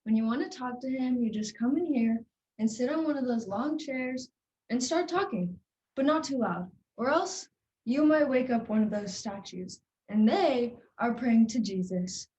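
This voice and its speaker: A woman's voice: fairly high pitch, expressive, normal speed, mellow, lo-fi sound, close to an everyday voice. A young woman on stage playing a naive, bossy little child who earnestly explains things in a monologue. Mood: earnest, instructive. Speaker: drama student performing a monologue. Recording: roomy, distant microphone.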